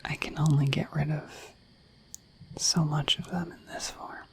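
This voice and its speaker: A woman's voice: low voice, flat delivery, measured pace. Whispering, shy dorky dragon girl, acted by a woman. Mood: shy, tender. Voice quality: breathy, soft whisper. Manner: gentle, hesitant, rambling storytelling. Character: shy, friendly, dorky dragon in humanoid form. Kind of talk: whispered ASMR roleplay to the listener.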